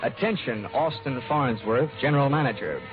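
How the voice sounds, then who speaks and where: A man's voice: mid-range voice, expressive, moderate pace, over music, lightly acted. Man acting a cocky radio detective, muffled vintage sound. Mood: dry, wry. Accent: American. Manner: wry, flippant, punchy delivery. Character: cocky, wisecracking male insurance investigator, hard-boiled detective. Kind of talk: old-time radio detective drama acting. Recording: dull vintage radio recording.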